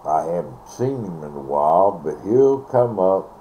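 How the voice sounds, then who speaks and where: An older man chatting casually and ramblingly, halting and repeating words, recorded on a device mic in a bedroom. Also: low voice, animated, warm tone. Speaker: vlogger. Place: small bedroom, indoors. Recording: dull, noisy webcam audio.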